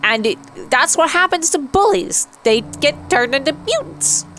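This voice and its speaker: A woman's voice: high voice, big pitch swings, a little slow, fairly bright tone, slight background noise, pitched above the performer's own voice, full character voice. Woman doing a bitter, frightened mutant boy, sarcastic. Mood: bitter, accusing. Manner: accusing, sarcastic.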